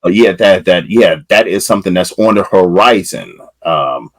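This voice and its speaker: A man's voice: low-pitched, lively intonation, normal speed, no room sound. A man hosting a podcast interview, asking long rambling questions with lots of fillers. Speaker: podcast host.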